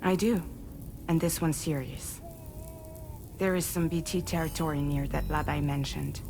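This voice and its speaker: A woman playing a grave, guarded leader of a courier group in a sci-fi game, speaking in a measured, businesslike way. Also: sits low, steady tone, creaky, dark tone, faint music behind. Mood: earnest, grave. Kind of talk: cinematic game dialogue.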